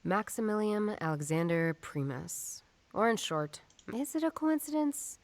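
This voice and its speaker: An actress playing a tough, defiant ex-police detective in a noir video game, blunt and challenging. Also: female voice, medium pitch, very animated, unhurried, no echo. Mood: puzzled, frustrated. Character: tough, defiant female ex-police detective on her own case.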